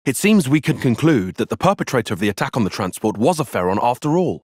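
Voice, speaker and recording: A man playing a young, analytical soldier tactician in a video game, speaking in precise, formal, measured phrases. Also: medium pitch, sing-song, a little mellow, dry recording, clean studio sound. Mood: analytical, composed. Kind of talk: video game cutscene voice acting.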